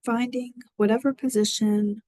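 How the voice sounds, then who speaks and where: A woman guiding a mindfulness body scan meditation, speaking gently and soothingly with long pauses. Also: on the high side, fairly even intonation. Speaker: mindfulness guide.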